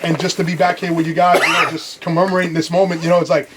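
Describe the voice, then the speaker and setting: A middle-aged man pitches his clothing line in a casual studio chat, his voice sitting a little high. He stumbles through restarts and leans on "you know" constantly. A faint hiss sits under the recording. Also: level tone, racing. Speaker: streetwear brand founder. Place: recording studio control room.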